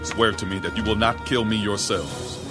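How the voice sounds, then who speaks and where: An actor playing a mighty, fierce and desperate ancient Hebrew warrior in a dramatic audio Bible production. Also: male voice, lowish voice, normal speed, over loud music, natural voice. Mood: wary.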